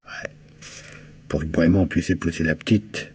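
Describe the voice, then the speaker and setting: An actor playing a weary married police inspector in a radio mystery, speaking colloquially and defensively. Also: male voice, sits low, fairly even intonation, moderate pace, darkish tone, slightly rough. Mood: guarded. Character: gruff, weary married police inspector, evasive with his wife. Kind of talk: old French radio mystery acting.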